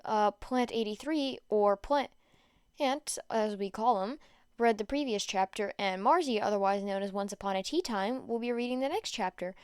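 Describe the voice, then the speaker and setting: A woman's voice: mid-range voice, moderate pace, clean tone, dry recording, studio quality. A woman reading fan fiction aloud as an amateur audiobook narrator. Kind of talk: audiobook-style story narration.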